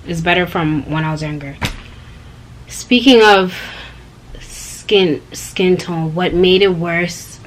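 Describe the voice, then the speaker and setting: A woman's voice: fairly low pitch, takes it slowly, slight background noise, low-quality recording. A young woman, talking straight to her viewers in a rambling, chatty personal vlog. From Canada. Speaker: vlogger. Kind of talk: casual personal vlog talk.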